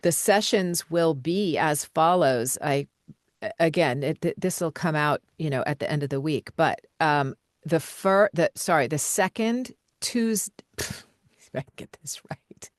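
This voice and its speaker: A woman voiceover coach answering questions live over a video call, relaxed and conversational, with frequent fillers and light laughs. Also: low-pitched, unhurried, no room sound, clean studio sound.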